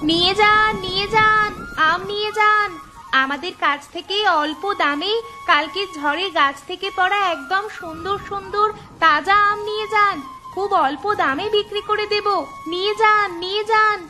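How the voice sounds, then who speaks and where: A woman voicing a kind, curious young village girl in a cartoon, earnest and expressive. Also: high voice, fairly quick, faint music behind. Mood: calling out. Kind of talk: cartoon storytelling voice acting.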